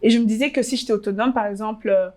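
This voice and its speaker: A woman's voice: fairly high pitch, fairly even intonation, racing, a little mellow. A woman in her twenties from Congo, telling her story in a casual interview, hesitant with many fillers and restarts. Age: 20s. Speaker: interviewee, fashion blogger.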